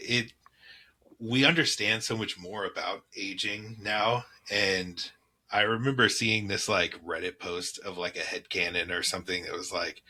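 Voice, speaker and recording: A man with a very low, husky voice and a bright, forward edge, talking loosely on a comedy podcast. He wanders through his explanations and laughs often. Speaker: podcast co-host. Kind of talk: casual chat. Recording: mild background keyboard clacking.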